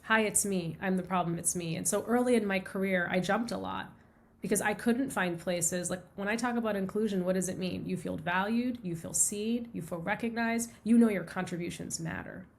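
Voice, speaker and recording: A middle-aged woman from the United States speaks as a guest on a podcast. Her voice sits at an ordinary middle pitch. She tells stories in an earnest, reflective way and comes back to phrases she has already used. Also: a little fast, gravelly. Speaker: guest author. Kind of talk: conversational interview.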